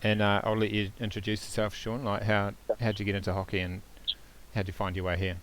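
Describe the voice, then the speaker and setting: Low-voiced, easygoing male host. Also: little pitch movement, a little fast, a little hiss or hum. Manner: easygoing, chatty, with lots of fillers. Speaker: podcast host. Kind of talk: casual podcast interview.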